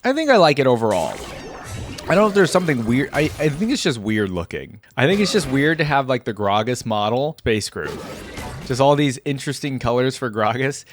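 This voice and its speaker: A man's voice: mid-range voice, sing-song, a little fast, over music. A man giving casual reaction commentary as a video creator, thinking aloud and hedging his opinions as he goes.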